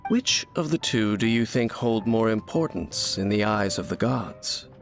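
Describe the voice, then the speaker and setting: An actor playing a mysterious, guarded wandering swordsman who speaks in a measured, solemn and formal way. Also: lowish voice, animated, creaky. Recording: game audio with soft music.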